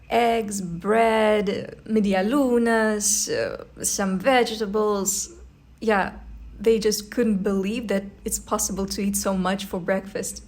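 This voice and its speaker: A woman's voice: mid-range voice, darkish tone. A young woman from a post-Soviet country with an Eastern European accent, narrating her life abroad in a friendly, earnest, conversational way. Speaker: vlogger. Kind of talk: scripted voice-over narration.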